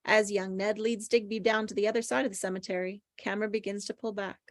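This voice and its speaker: Woman, mid-pitched voice, stage directions reader, scripted table-read narration, dry recording. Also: steady tone, quick pace, dry recording.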